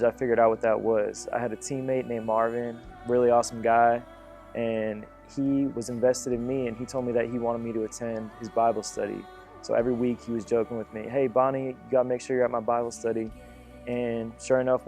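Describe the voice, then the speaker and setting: A man in his late twenties tells his own story as a testimony. His mid-pitched voice stays very even and flat, with soft music underneath. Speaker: church member giving testimony. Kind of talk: personal testimony.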